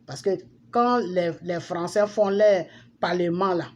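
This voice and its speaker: A middle-aged woman with an Ivorian accent delivering an impassioned, forceful political monologue, scolding and repeating phrases for emphasis. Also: lowish voice, measured pace, dull audio. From Ivory Coast. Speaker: political commentator. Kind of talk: impassioned political monologue addressed to public figures. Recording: noisy amateur recording with background ambience.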